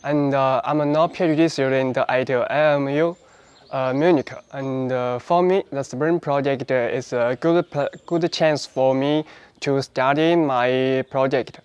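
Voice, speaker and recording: A man's voice: mid-range voice, fairly even intonation, measured pace, darkish tone, clean tone, dry recording, muffled recording. A man, a PhD student introducing himself carefully, with frequent fillers. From China. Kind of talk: short self-introduction to camera.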